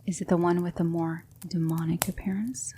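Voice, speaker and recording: A woman playing a gentle, patient demonic magic mentor in an ASMR roleplay, speaking in measured, hushed instruction that slips into whispers. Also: on the low side, level tone, a little hiss or hum, natural voice. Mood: hushed, coaxing. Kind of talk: ASMR roleplay, soft speech slipping into whispers.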